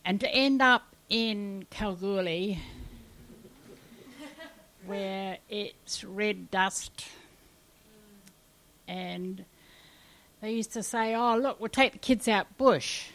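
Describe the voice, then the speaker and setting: Older kiwi lady telling her story slow and thoughtful, mid pitch voice. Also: fairly bright tone. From New Zealand. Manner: unhurried, reflective storytelling. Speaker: congregation member sharing a testimony.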